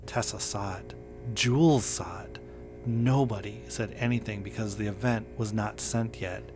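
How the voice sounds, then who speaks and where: A man reading a scripted first-person horror story in a measured, understated way. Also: fairly low pitch. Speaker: narrator.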